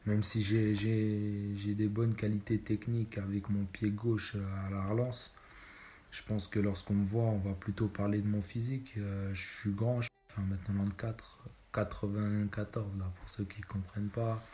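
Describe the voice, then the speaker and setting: Low, flat-voiced young man. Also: normal speed, a little hiss or hum. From Belgium. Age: 20s. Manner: hesitant, many fillers and restarts. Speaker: footballer interviewee. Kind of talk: casual interview answers.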